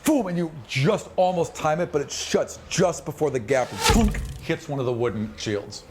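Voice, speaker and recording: A man with a fairly high voice runs a fantasy tabletop game. He narrates off the cuff as game master, animated and dropping in vocal sound effects. There is clear noise behind him. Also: normal speed.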